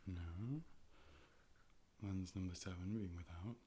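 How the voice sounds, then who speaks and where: A man playing a calm, polite robot A.I. optician in an ASMR role play, speaking in whispers and soft, measured, clinical tones into a close microphone. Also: sits low, a little hiss or hum. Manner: measured, formal, procedural, soothing. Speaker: ASMR performer playing an eye doctor. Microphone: close-up ear-to-ear 3D microphone.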